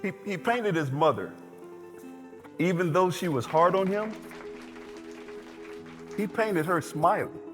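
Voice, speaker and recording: A man acting as an admiring storyteller in a stage play, fondly recounting a painter's life in deliberate, pause-filled phrases. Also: sits high, crowd noise. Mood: reverent, fond. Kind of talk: theatrical stage monologue. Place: indoor stage performance space. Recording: echoey stage hall, music behind. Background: plucked guitar music.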